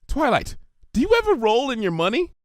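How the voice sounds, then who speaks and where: A man voicing a bouncy, excitable, scatterbrained young female pony who rambles and exclaims naively in a cartoon fan fiction reading. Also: extremely high pitch, fairly quick, no room sound, studio quality, pitched above the performer's own voice, heavily acted. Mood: laid-back, chatty.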